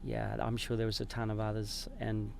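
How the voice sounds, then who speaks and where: A young man with a New Zealand accent chats on a podcast from inside a parked RV, with a gravelly, creaky voice. He rambles, restarting words and sentences as he goes. A faint low hum sits behind him. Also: completely flat delivery, normal speed. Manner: rambling, restarts mid-word. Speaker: podcast guest. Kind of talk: casual chat.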